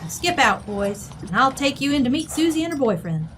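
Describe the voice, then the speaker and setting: A woman's voice: sits high, very animated, fairly quick, a bit bright, slight background noise. A woman playing a brash, folksy, middle-aged rancher widow with an American West accent, blunt, bossy and slangy, in an old-time radio drama. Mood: breezy, blunt.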